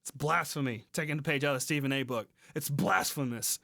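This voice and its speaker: A man co-hosting a podcast, talking casually and slangily with halting, repeated restarts. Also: fairly high pitch, gravelly, pushed and bright, dry recording, clean studio sound. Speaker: podcast co-host. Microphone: close mic on a remote recording call.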